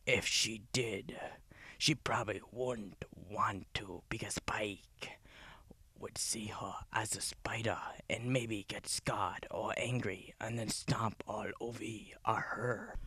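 Deadpan whispering storyteller, male, slow, husky. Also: lowish voice. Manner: soft breathy whisper, deadpan. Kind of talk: whispered ASMR-style storytelling.

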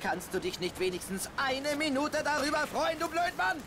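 An actor playing a brash, excitable, gung-ho soldier with a mocking, boisterous manner in a dubbed video game. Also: male voice, very high-pitched, moderate pace, noisy background, heavily acted. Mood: exasperated.

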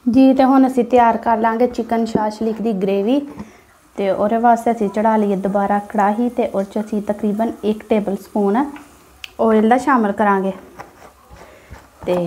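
A woman's voice: a little high, little pitch movement, moderate pace, dark tone. A woman with a Punjabi accent narrates a recipe step by step as a home cook, instructing her viewers directly. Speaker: home cook, cooking channel host. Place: home kitchen, beside the stove. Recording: background noise of frying and stirring. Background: sizzling pan, stirring sounds.

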